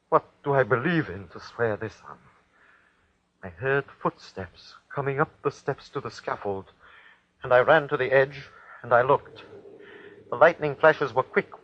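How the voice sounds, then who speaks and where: A man playing a cruel, haunted Nazi executioner who reports in a husky voice and obsessively repeats himself, in 1940s radio horror style. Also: mid-range voice, measured pace, pauses often, lightly acted. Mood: terrified, frantic. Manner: dramatic, haunted first-person reporting with obsessive repetition. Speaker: actor. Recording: dull, lo-fi old radio recording.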